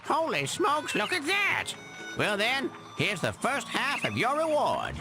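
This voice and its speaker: An actor playing a gruff, bossy old forest ranger in a cartoon video game. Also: male voice, very high-pitched, wildly swooping pitch, measured pace, exaggerated voice. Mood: excited. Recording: game audio with music behind.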